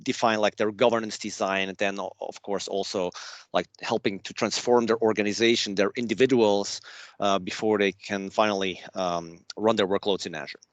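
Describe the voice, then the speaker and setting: A man from Switzerland with a Swiss accent, giving a relaxed slide presentation to a user group over a video call. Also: fairly low pitch, moderate pace, dry recording. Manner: chatty, loose and self-deprecating. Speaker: guest speaker at a user group. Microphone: remote video call.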